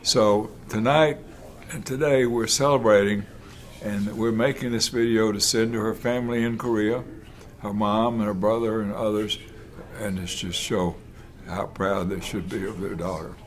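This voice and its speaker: Earnest older man, low voice. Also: fairly even intonation, a little hiss or hum. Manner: earnest, halting and rambling. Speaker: lawyer, host of the video. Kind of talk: casual family speech to camera.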